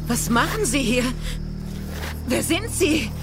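Terrified, high-voiced woman. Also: sing-song, full character voice. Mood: alarmed, uneasy. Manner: halting, stammering disbelief. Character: frightened woman confronted by a ghostly apparition. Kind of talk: horror audio drama acting. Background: music under the voice.

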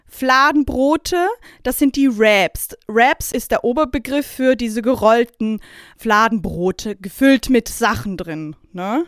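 A woman's voice: on the high side, clean tone, dry recording, studio quality. A woman hosting a podcast with a casual, chatty and playful manner. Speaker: podcast host.

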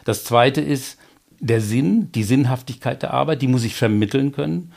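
A middle-aged man, a leadership coach as interview guest, explaining in a measured way with anecdotes and the odd filler. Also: mid-range voice, lively intonation, gravelly, darkish tone. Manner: tells personal anecdotes to make points. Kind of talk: interview conversation.

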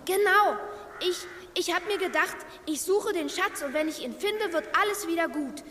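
An earnest little girl speaks in a very high, slightly halting voice, polite and hopeful. It is a children's audio play with long reverb and faint noise behind her. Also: bright, forceful tone.

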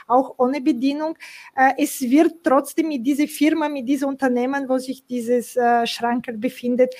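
High-voiced woman hosting an interview. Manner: hesitant questions with many "äh" fillers. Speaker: interview host. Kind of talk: scripted intro, then interview questions.

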